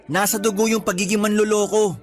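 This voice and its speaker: A man's voice: high-pitched, little pitch movement, a little fast, lightly acted. A man playing a jealous, insecure young boyfriend in a radio love drama, accusing and defensive. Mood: angry, accusing.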